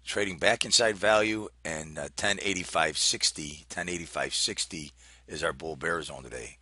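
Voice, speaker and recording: A man giving informal, unscripted market commentary as an analyst, talking haltingly as he thinks aloud and reads out numbers. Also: low-pitched, sharp tone, no room sound, studio quality. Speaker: market analyst hosting a trading briefing.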